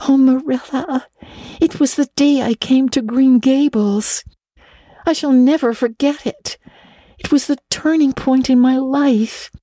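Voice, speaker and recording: A woman's voice: high voice, dark tone, dry recording, studio quality. A woman voicing an earnest, romantic young girl in a dramatic storybook reading, gushing and breathless, tripping over eager repetitions. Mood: fervent, wistful. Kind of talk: dramatic audiobook reading of classic children's literature.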